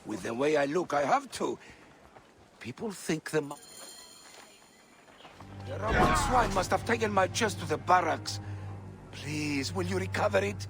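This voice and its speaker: An actor playing a sly, chatty, self-pitying street merchant in a video game, ingratiating and theatrical. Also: male voice, high voice, big pitch swings, measured pace, long pauses, over music, heavily acted. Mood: pleading, theatrical. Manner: ingratiating, theatrical, third-person boasting.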